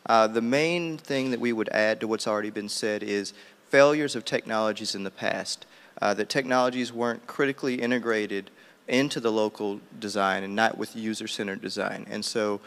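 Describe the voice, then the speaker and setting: A man's voice: fairly low pitch, steady tone, normal speed, fairly bright tone. A man giving an unscripted report to a workshop as spokesperson for his group. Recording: reverberant hall sound.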